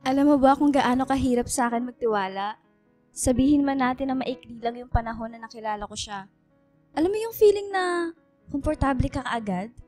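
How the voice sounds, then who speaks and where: A high-voiced young woman in love, played by an actress, coy yet candid as she chats in a radio romance drama. Faint music sits behind her conversational delivery. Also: a little fast, darkish tone, lightly acted. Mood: earnest.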